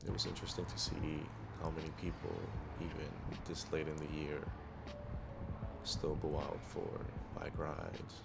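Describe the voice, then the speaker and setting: A man with a deep voice narrating his own vlog in a laid-back, rambling voiceover. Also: little pitch movement, unhurried, vocal fry, heavy background noise. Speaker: vlogger. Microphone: clip-on wireless mic.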